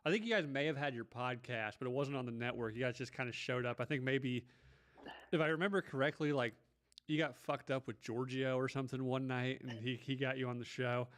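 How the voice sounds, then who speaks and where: A man's voice: medium pitch, steady tone, fairly quick, fairly bright tone. A man in his thirties hosting a podcast, teasing his guest and telling casual stories with some swearing. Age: 30s. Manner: ribbing, anecdotal, casual swearing. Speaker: podcast host.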